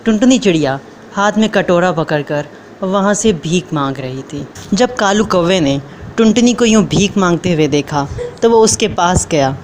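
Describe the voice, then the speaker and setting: A woman narrating a cartoon moral story in a steady storytelling way. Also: on the low side, moderate pace, slight background noise.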